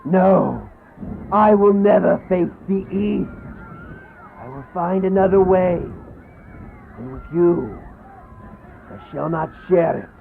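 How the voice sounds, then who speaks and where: Casting: man as defiant, desperate male vampire, gravelly and slow, theatrical horror radio, thin phone-like sound. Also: sits high, lively intonation, a faint crowd, heavily acted. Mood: bitter, defiant. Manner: slow, declamatory, accusing. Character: desperate, defiant vampire begging and accusing his maker. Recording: muffled phone-like radio play, background noise.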